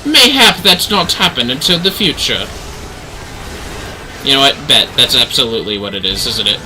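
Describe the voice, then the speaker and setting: A man's voice: mid-range voice, lively intonation, moderate pace, sharp tone, a little hiss or hum, rough recording. A man livestreaming a video game, giving casual running commentary as he plays.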